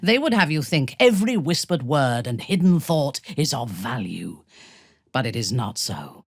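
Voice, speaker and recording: An actress playing a haughty, ruthless female dark elf warlord in a video game, imperious and formal, with a breathy, husky voice. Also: very deep voice, big pitch swings, no room sound, clean studio sound, exaggerated voice. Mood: grave, commanding. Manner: imperious, formal, deliberate, coldly menacing. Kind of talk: video game character dialogue.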